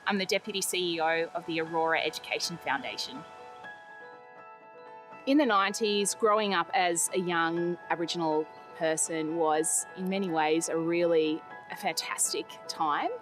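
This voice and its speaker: Earnest Australian woman, low voice. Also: soft background music. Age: young adult. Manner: earnest, measured, with occasional fillers and restarts. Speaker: interviewee. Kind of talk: reflective interview.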